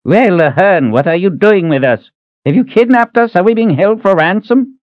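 A man voicing a cranky old male wizard with a cane who is shrill, indignant and blustering. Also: expressive, normal speed, no echo, muffled recording. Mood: alarmed.

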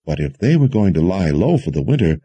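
A man voicing an earnest, nervous young mage in an audiobook, hesitant, halting and politely deferential. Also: deep voice, sing-song, dark tone, husky, no echo, exaggerated voice. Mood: flustered. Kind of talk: audiobook character dialogue.

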